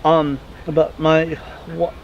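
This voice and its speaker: A man's voice: fairly high pitch, monotone, extremely slow, vocal fry, dull audio, slight background noise. A man in his twenties chatting casually outdoors in a wooded yard, rambling and correcting himself as he answers viewer questions. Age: 20s. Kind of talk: casual Q&A vlog.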